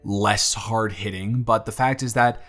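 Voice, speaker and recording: A man's voice: on the low side, fairly even intonation, unhurried, fairly bright tone, faint music behind. A man playing an earnest young single dad. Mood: tender. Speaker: father confessing feelings to the babysitter. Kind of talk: audio roleplay.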